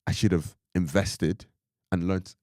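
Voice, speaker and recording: Deep-voiced young man, rambling casual chat. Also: steady tone, measured pace, dark tone, no room sound, clean studio sound. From Ireland. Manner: rambling, full of "like" and "you know what I mean". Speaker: podcast co-host.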